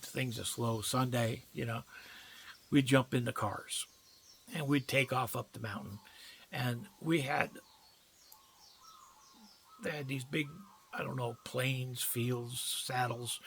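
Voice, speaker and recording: A man's voice: mid-range voice, fairly even intonation, takes it slowly, vocal fry, pauses often, a bit bright, a little hiss or hum. An older man telling personal stories in a casual, folksy, rambling way with colloquial phrasing. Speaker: storyteller recounting personal memories. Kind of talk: casual reminiscing monologue.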